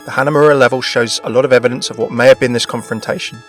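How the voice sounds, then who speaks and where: Fast-talking male gaming video narrator. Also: medium pitch, steady tone. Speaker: video presenter. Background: background music.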